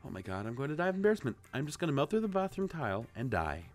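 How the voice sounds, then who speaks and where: A man voicing a shy, easily embarrassed young college woman in a squeaky raised voice. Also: sing-song, racing, soft background music, close to an everyday voice. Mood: flustered. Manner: high-pitched, squeaky when embarrassed.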